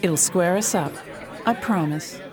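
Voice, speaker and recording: A woman's voice: lowish voice, measured pace, crowd noise, lightly acted. A woman playing a brash, defiant woman. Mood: coaxing. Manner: sarcastic, defiant. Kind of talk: amateur radio drama.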